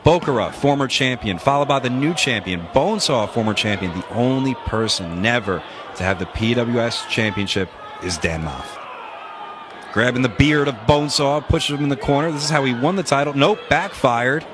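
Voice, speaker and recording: A man calling wrestling moves in quick succession as play-by-play commentator, with jokey asides. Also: medium pitch, expressive, noisy background.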